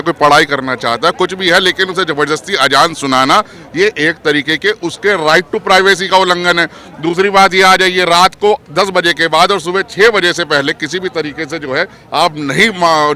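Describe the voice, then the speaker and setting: A man's voice: a little high, pushed and bright. A man giving argumentative political opinion in an interview, emphatic and rhetorical, mixing in English terms.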